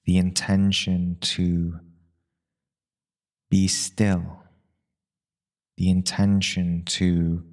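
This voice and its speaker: Very slow, deep-voiced male meditation guide. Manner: calm, unhurried, long pauses between short phrases.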